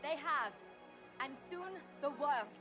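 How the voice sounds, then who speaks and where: A diplomatic woman advisor in a fantasy game. She sounds earnest, with a clearly high voice. Faint score runs behind her. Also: lively intonation, slow pace, music in the background. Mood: proud. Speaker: game character actor. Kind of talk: dramatic video game dialogue.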